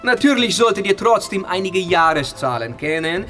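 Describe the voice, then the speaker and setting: An actor playing a friendly, slightly nervous young history teacher from northern Italy, speaking. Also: male voice, sits high, big pitch swings, moderate pace, faint music behind, heavily acted. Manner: polite, warm, explaining. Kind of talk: audio drama acting.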